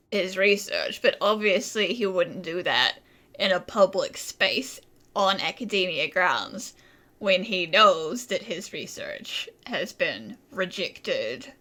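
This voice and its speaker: A woman's voice: medium pitch, pushed and bright. A woman giving casual commentary while playing through a video game. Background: faint game music.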